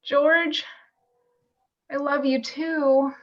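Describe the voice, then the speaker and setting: Radio drama: female actor as a devoted young small-town girl, sweet and unhurried, a little muffled on a video call. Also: high-pitched, level tone, loud music behind the voice. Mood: tender. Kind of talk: amateur radio play acting.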